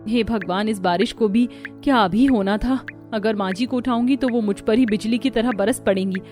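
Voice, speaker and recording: A woman's voice: fairly high pitch, moderate pace, darkish tone, faint music behind, close to an everyday voice. A woman voicing a meek, put-upon young daughter-in-law in a Hindi cartoon story, plaintive and deferential. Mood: distressed.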